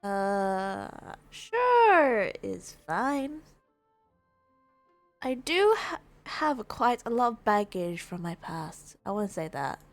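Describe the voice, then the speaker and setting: A woman streamer giving casual, rambling gameplay commentary, reacting and thinking out loud. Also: mid-range voice, sing-song, drawn-out words, soft background music. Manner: rambling, musing aloud, reactive. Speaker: streamer playing a game.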